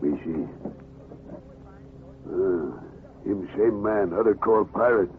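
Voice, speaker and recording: A man's voice: low voice, takes it slowly. A man playing a loyal, stolid sidekick who speaks in clipped, broken English in an old-time radio adventure. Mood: stolid, watchful. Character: loyal, stolid male sidekick of a pirate hero. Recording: tinny band-limited vintage radio, hissy.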